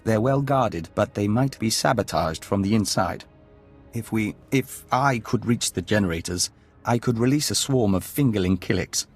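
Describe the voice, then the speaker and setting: A man plays a gentle envoy of an insect hive in a sci-fi game. The voice is low, measured and formal, with precise wording and music underneath. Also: natural voice. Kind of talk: video game voice acting.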